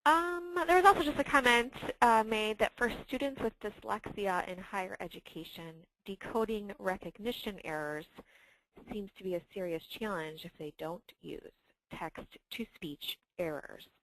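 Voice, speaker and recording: A woman hosting a webinar informally, with a hesitant, halting delivery. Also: mid-range voice, a bit bright, rough recording. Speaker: webinar host and moderator.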